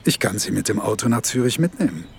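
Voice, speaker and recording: An actor playing a courteous mourner in a naturalistic radio drama. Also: male voice, on the low side, animated, fast talker, vocal fry, slight background noise. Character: courteous male mourner offering a lift. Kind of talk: naturalistic German-language radio drama acting.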